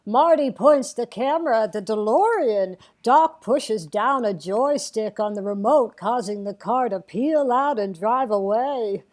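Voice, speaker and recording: A high-pitched elderly lady narrates a comedic table read. She takes her time, halting between phrases, sweet on the surface and slyly naughty underneath. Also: lively intonation, darkish tone. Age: older. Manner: halting, deliberate storytelling with sly asides. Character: impression of elderly comic actress narrating, slow, sweetly naughty.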